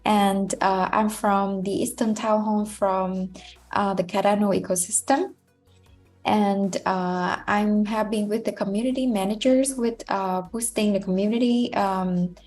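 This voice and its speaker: A woman's voice: medium pitch, level tone, a little mellow, soft background music. Hesitant woman from Vietnam. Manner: hesitant, halting. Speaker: community manager. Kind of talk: self-introduction on a call.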